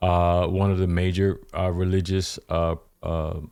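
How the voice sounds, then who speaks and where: A man's voice: low-pitched, level tone, unhurried, darkish tone, clear, resonant tone. A man hosting a podcast interview, rambling through his questions with many restarts and fillers. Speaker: podcast host. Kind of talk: casual interview.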